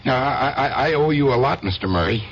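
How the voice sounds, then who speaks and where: An actor playing an obsessively jealous, unrepentant husband in a 1970s radio drama, plain-spoken and self-justifying. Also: male voice, medium pitch, animated, fast talker, natural voice. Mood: grimly unrepentant. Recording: dull, noisy 1970s radio broadcast.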